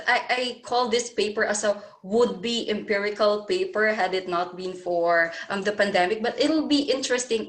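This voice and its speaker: Filipino woman, conference presenter, earnest and explanatory, plenty of ums, mid-pitched voice, video call audio. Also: a bit bright. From Philippines. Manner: earnest, explanatory, many um fillers. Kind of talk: academic answer in a Q&A.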